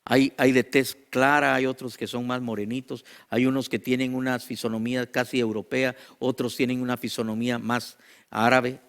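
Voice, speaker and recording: A man with a Guatemalan accent preaching to a congregation as a pastor, in emphatic, repeated exhortations with deliberate pauses. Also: mid-range voice, level tone, a bit bright. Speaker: pastor and teacher. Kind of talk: sermon to a congregation.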